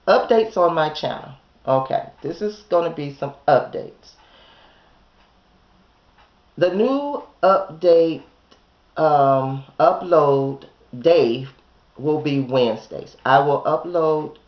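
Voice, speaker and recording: A woman hosting a home decorating channel, chatting casually to viewers in a hoarse voice, often checking in with "okay?". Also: low voice. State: hoarse from a chest cold. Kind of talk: casual vlog chat. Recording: echoey room, dull lo-fi sound.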